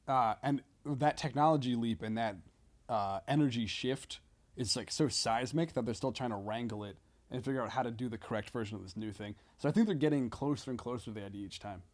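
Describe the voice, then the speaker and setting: A man's voice: mid-range voice, brisk, a little mellow. A man chatting casually as a podcast guest, thinking aloud in a rambling, loosely sweary way.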